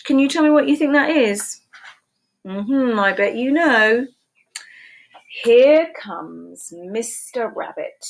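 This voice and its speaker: A woman in her fifties reading picture books aloud to preschoolers in a slow, sing-song storytime voice, recorded on a webcam in a small room at home. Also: a little high, lively intonation, vocal fry. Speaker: children's book reader. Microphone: webcam at desk.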